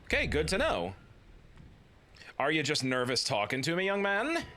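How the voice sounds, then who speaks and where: A man's voice: on the high side, wildly swooping pitch, normal speed, sharp tone. A man in his thirties hosting a let's play, joking and commenting casually as he plays. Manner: playful, jokey, slips into silly voices.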